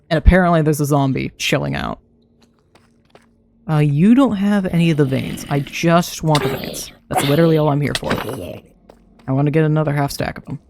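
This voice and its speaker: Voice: mid-range voice, creaky, a little mellow, slight background noise. Chatty streamer with a dry throat. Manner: chatty, talks to self while playing, laughs often. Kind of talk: casual live gameplay commentary.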